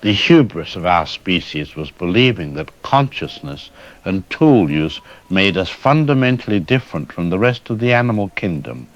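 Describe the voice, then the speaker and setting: A man playing a weary, resigned scientist in an apocalyptic audio drama, giving a measured, academic monologue on a dull lo-fi recording. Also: low-pitched, very animated. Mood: resigned, subdued. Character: weary, resigned male scientist surviving the apocalypse, solemnly analytical.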